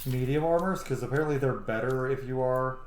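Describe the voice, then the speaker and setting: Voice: medium pitch, fairly quick, a little mellow. A streamer giving casual gameplay commentary, rambling and thinking aloud. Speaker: streamer playing a role-playing game. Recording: roomy echo, faint background noise.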